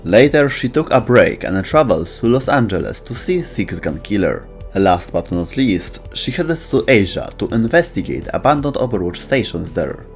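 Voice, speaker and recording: A man's voice: on the low side, very animated, moderate pace, few pauses, faint music behind. A man reading scripted narration for a video about video game lore.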